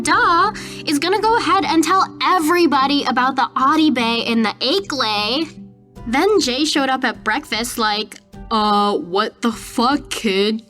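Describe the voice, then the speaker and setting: A woman's voice: high voice, a bit bright. A woman playing a chatty, gossipy young camp counselor, telling the day's story in a casual, slangy way for a horror podcast. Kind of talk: letter-like storytelling monologue in actual-play horror podcast.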